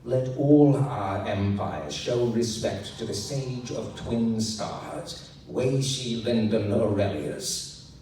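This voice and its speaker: A man voicing a majestic emperor, making slow, formal, booming proclamations to crowds in a fantasy audiobook. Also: animated, dark tone, slight background noise. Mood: proud, ceremonial. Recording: echoey hall, distant handheld recording.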